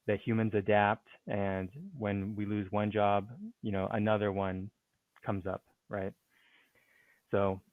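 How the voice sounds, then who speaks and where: A man speaks in a lowish voice, pausing often and restarting his sentences as he thinks aloud. His voice comes through a tinny, phone-like line with a dry, close sound. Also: dry recording. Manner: hesitant, many fillers and restarts. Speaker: speaking and writing coach, podcast guest. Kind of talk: conversational interview answer.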